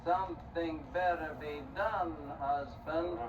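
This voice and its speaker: A man's voice: on the high side, steady tone, slow, muffled recording. A man playing a wicked, selfish woodcutter's wife in a stage story, scheming and scolding with theatrical emphasis. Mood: callous, insistent. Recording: distant mic, clear background noise.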